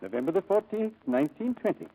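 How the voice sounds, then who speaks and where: An actor playing a solicitous, matter-of-fact chemist in 1940s radio drama style. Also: male voice, high voice, very animated, fast, vocal fry, dark tone, heavily acted. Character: calm, solicitous chemist in his shop. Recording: muffled, faintly noisy old radio recording.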